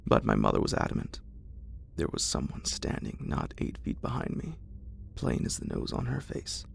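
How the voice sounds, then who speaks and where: A man reads a horror story in the first person as ASMR, in a breathy, gravelly, largely whispered voice. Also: extremely low pitch, wildly swooping pitch, moderate pace, a little hiss or hum.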